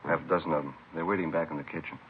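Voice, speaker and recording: Very deep, understated policeman. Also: steady tone, rapid-fire. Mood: matter-of-fact. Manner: matter-of-fact, understated. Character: calm, matter-of-fact male policeman briefing colleagues. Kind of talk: 1950s radio police drama acting. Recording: tinny, hissy vintage radio recording.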